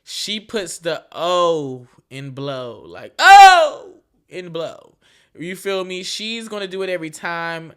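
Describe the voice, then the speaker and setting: A woman's voice: lowish voice, big pitch swings, slow, clean tone. A young woman in her twenties hosts her own podcast alone, chatting loosely and frankly with plenty of slang and cursing. She rambles, restarts her sentences, and keeps checking in with the listener. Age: 20s. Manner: slangy, frank and profane, with frequent "you feel me" asides. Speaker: podcast host. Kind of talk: casual solo podcast chat.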